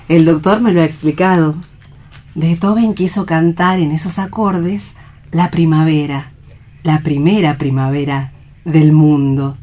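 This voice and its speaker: A woman's voice: low-pitched, dark tone. An actress playing a devoted, earnest young woman with a lyrical, emotive delivery in a radio drama. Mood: reverent. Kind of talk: radio theatre dramatic reading.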